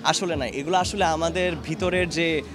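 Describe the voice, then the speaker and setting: A young man with a slightly high voice speaks in an interview. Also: steady tone, normal speed. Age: 20s. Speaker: interviewee, exhibition visitor. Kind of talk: unscripted interview. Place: busy indoor gallery. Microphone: handheld reporter microphone. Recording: busy crowd noise around voice.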